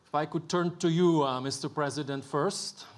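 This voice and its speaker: A man moderating a formal panel, introducing guests and putting questions to them in a measured way. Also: a little high, steady tone. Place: conference stage with an audience.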